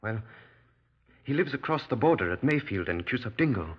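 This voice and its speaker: A man's voice: mid-range voice, animated, brisk, breathy edge. An actor playing an anxious, resentful village businessman in a 1950s radio drama, speaking in a nervous, hesitant, indignant manner. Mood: anxious, insistent. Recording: dull old radio recording.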